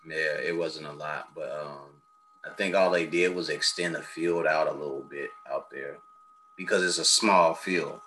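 A man's voice: very deep voice, steady tone, normal speed, bright, forceful tone, slight background noise. An American man from Chicago hosting a casual chat, blunt and opinionated, swearing freely in an informal way. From South Side of Chicago, United States. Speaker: host.

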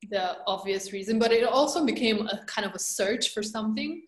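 A woman's voice: mid-range voice, brisk. Reflective woman in an echoey room. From Romania. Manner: reflective, searching for words, frequent "kind of" and "like". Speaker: guest. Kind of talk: casual conversational interview. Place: London.

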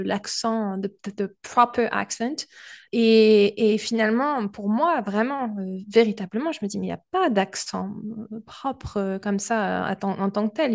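Fry-voiced woman teacher, hesitant. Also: medium pitch, darkish tone. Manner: hesitant, many fillers, asks long questions. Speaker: podcast co-host, language teacher. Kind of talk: casual conversation.

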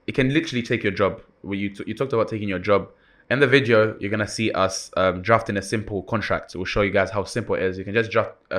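A young university student co-hosting a casual chat, talking in a relaxed way with fillers and repeated words. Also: male voice, on the low side. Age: young adult. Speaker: co-host.

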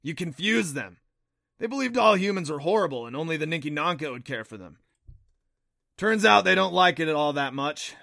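A man's voice: fairly high pitch, animated, fairly quick, creaky, bright, forceful tone, no room sound, studio quality, voice pushed up, exaggerated voice. A man voicing a proud, impatient magical horned beast, explaining things emphatically in an audiobook. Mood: earnest. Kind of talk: audiobook character voice.

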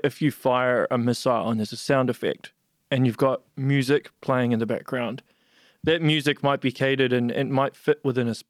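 A man hosting a podcast, asking long, curious, probing questions in a relaxed conversational way. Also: medium pitch, no echo, clean studio sound. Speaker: podcast host. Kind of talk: interview conversation.

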